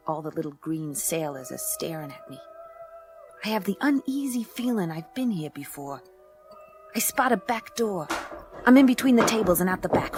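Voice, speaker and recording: A woman's voice: mid-range voice, close to an everyday voice. A woman playing a tough, hard-boiled space detective, narrating a radio play in clipped, sardonic noir style. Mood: moody, wry. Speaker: lead narrator.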